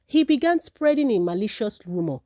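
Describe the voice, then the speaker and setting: A woman's voice: mid-range voice, sing-song, a little fast, warm tone, no room sound, studio quality. A woman narrating a folktale from a script as a storyteller.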